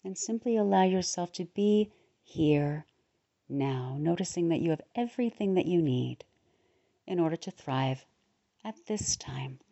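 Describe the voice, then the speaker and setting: Low, mellow woman guiding a meditation. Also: lively intonation, creaky, dull audio. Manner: soothing, unhurried, with pauses between short phrases. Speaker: guided meditation leader, podcast host. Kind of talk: guided relaxation meditation narration.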